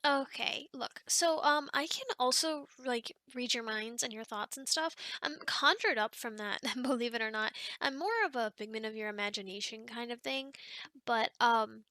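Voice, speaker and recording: A woman's voice: fairly high pitch, normal speed, sharp tone, no echo. A woman playing an awkward, chatty young sleep paralysis demon in an ASMR roleplay, rambling hesitantly and often dropping toward a whisper. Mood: hesitant, reassuring.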